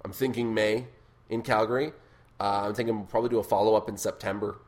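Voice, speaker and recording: A man hosting a casual podcast chat, with a loud, booming voice, swearing freely and laughing often. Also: steady tone, fast talker. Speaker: podcast host.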